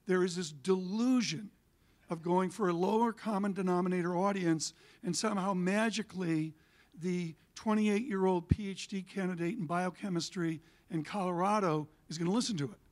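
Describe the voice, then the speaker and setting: Brief: older man, panelist, emphatic and animated, high-pitched, on a stage with a handheld mic. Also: normal speed. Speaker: panelist, TV and media figure. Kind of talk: conference panel talk. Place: conference stage, indoors.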